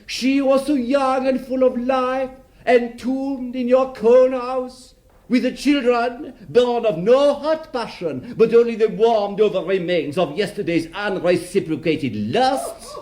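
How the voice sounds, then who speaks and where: An actor playing a brazen, self-righteous bourgeois murderer in a radio drama, declaiming in a florid, sardonic and gloating way. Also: male voice, extremely high pitch, a little slow, darkish tone. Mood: indignant, accusing. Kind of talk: theatrical radio drama monologue.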